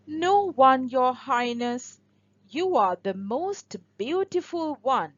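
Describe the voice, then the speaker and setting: A woman voicing a truthful, deferential magic mirror in a fairy tale, formal and measured. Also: high voice, lively intonation, no room sound, dull audio, heavily acted. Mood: flattering.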